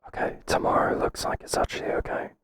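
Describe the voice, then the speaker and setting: A man playing a flustered but principled boss in an ASMR roleplay, speaking hesitantly in a breathy whisper. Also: lightly acted. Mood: businesslike, gentle. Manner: hesitant, halting, gently firm. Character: flustered, professional male boss, kind but firm. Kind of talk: whispered ASMR roleplay, intimate second-person acting.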